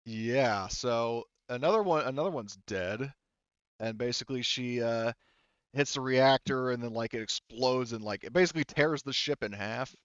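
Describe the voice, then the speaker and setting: Male game master, clean smooth voice, halting think-aloud narration, dry slightly dull audio. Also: medium pitch, no room sound. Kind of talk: narrating a tabletop game session.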